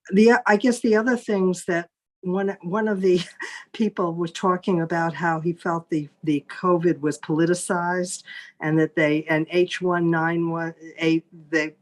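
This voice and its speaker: Low-voiced thoughtful woman. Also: unhurried. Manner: thoughtful, hesitant, many restarts. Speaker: therapist, panel participant. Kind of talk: casual panel discussion.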